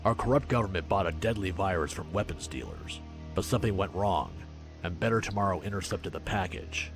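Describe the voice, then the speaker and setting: A man voicing a suave, menacing, fanatical politician in a visual novel, speaking in a theatrical, measured, pompous way. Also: sits low, soft background music. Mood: grave, regretful.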